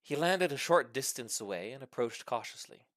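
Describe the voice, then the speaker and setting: A man's voice: mid-range voice, animated, normal speed, a bit bright, no room sound, studio quality. A man reading a funny short story aloud as scripted narration, with theatrical, mock-serious emphasis. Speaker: story reader.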